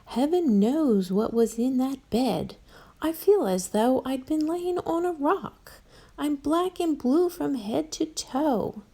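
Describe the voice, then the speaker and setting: A woman's voice: high voice, expressive, takes it slowly, warm tone, pitched above the performer's own voice, heavily acted. A woman voicing a delicate young fairy-tale princess, polite and plaintive.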